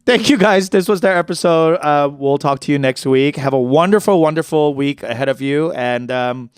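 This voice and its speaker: A man from New York co-hosting a podcast in casual chat, swearing freely and asking rapid questions. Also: on the high side, smooth, no echo, studio quality. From New York, USA. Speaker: podcast co-host.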